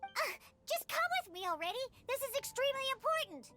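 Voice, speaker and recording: A woman's voice: extremely high pitch, very animated, a little fast, music in the background. An actress playing a bossy, eager and caring little girl in a video game, speaking with insistent emphasis. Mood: urgent, bossy. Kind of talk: video game cutscene acting.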